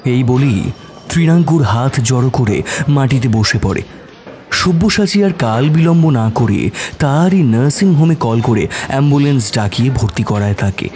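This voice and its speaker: A man's voice: medium pitch, lively intonation, moderate pace, a little mellow, faint music behind. A man narrating a scripted detective story in a dramatic audio-drama style.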